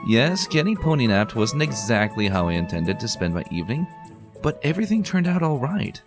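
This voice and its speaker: A man's voice: mid-range voice, very animated, a little fast, music in the background, pitched above the performer's own voice. A man voicing an earnest, bookish young woman unicorn who sounds mildly exasperated.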